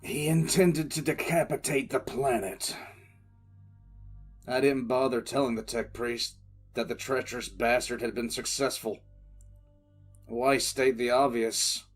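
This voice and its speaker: A man's voice: medium pitch, fairly even intonation, measured pace, long pauses, soft background music, close to an everyday voice. Man acting a grim, battle-weary sci-fi commissar. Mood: grim, steady. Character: grim, battle-weary male military commissar recounting events. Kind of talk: dramatic first-person audio drama narration.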